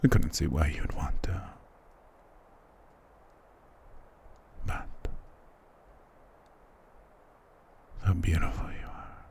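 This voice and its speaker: A man playing a doting, possessive immortal vampire lord in an intimate ASMR romance roleplay, husky, tender and softly commanding. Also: normal speed, exaggerated voice. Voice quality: breathy, husky low whispering.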